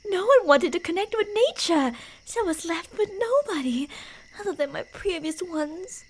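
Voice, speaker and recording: A woman playing a delusional, playfully menacing yandere killer in an ASMR roleplay, teasing in a sing-song way and slipping into breathy whispers. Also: extremely high pitch, animated, moderate pace. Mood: delighted obsession.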